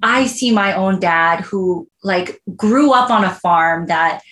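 A woman's voice: fairly low pitch, slow, a bit bright. An American woman giving an earnest, heartfelt interview answer, telling stories conversationally with plenty of "like" and "you know". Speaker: interview guest, home loan professional. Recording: roomy echo on the voice.

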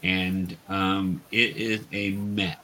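A man's voice: sits low, level tone, extremely slow, sharp tone. A man guiding players through an online tabletop role-playing game, explaining patiently step by step with some hesitation. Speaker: dungeon master, teacher.

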